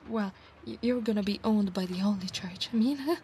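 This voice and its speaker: An Italian woman with an Italian accent voicing a possessive, playful female centaur knight in an ASMR roleplay, doting on her human in soft murmurs that often slip into whispers. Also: mid-range voice, moderate pace, a little hiss or hum, natural voice. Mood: possessive, flustered. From Italy.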